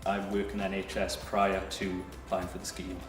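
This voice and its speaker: Young Northumberland man, graduate trainee, low and monotone, earnest, echoey room with music. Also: normal speed. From Northumberland, England. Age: young adult. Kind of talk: casual interview answers. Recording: roomy sound with music behind.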